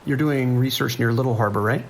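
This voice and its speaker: Kindly small-station deputy played by a man: reassuring, unhurried, mid-pitched with some fry, light noise behind. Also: natural voice, poor audio. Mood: calm, businesslike. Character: calm, kindly male sheriff's deputy dispatcher on night shift. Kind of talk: naturalistic audio drama acting.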